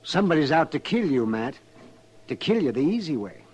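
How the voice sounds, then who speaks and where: An actor playing a blunt, agitated town doctor in an old-time radio western. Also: male voice, fairly high pitch, very animated, brisk, very noisy. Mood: urgent warning. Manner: blunt, emphatic.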